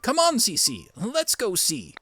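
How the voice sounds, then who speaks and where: Casting: elderly creature professor for a cartoon game played by a man, theatrical, kindly and curious, very animated pitch, music underneath. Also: slow pace, exaggerated voice. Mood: curious, musing. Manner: quaint, theatrical, musing. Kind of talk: cartoon game character dub. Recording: music behind the voice.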